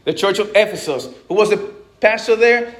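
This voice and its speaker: High-voiced preaching man from the Dominican Republic. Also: expressive, moderate pace, a bit bright. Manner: conversational preaching with frequent restarts and asides. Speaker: pastor. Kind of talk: sermon.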